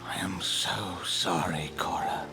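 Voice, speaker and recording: A man voicing the sorrowful ghost of a baron in a video game, speaking slowly and formally. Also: low voice, steady tone, gravelly, whispered, exaggerated voice. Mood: remorseful. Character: remorseful ghost of a male baron. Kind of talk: dramatic video game voice acting. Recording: loud music and rain behind. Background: game music and rain.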